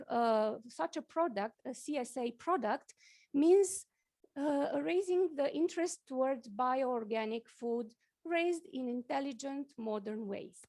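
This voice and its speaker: A woman from Romania, giving a conference talk with slides, halting often with fillers and restarts. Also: sits high, darkish tone. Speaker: conference speaker. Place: conference hall.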